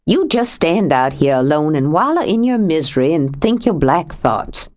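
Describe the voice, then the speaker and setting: A woman voicing a steady, plainspoken woman who talks calmly and firmly in an audiobook. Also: sits low, normal speed. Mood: earnest. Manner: calm and firm, gently blunt. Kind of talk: audiobook character reading.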